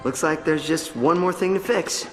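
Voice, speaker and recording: Man acting a high-voiced young game hero. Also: normal speed, big-room sound, lightly acted. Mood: subdued. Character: young, impulsive, big-hearted male alien hero. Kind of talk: video game cutscene acting. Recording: loud music behind the voice.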